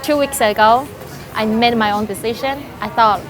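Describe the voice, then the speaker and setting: A woman's voice: fairly high pitch, normal speed, over music. A woman from Taiwan, a life and business coach chatting in an interview with many fillers and light laughs. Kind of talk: casual interview answers. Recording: noisy background.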